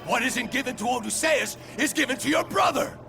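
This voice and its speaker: An actor playing a proud, anguished, enraged ancient Greek warrior in a video game cutscene, declaiming intensely and often shouting. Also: male voice, very high-pitched, lively intonation, brisk, gravelly, over music, full character voice. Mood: indignant, furious.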